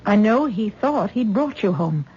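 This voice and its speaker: A fretful mother in middle age, in an old radio play. Her voice is slightly husky, and she talks in a coaxing, worried way. The broadcast sounds dull and muffled. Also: mid-range voice, lively intonation, unhurried, mellow. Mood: anxious. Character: worried mother of grown daughter Tessa. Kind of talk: 1970s radio drama acting.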